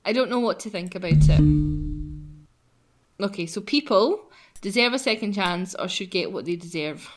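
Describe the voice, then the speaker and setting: A woman's voice: mid-range voice, lively intonation, moderate pace, soft background music. A woman hosting a let's play with friends, chatting casually in a giggly way and reading game text aloud.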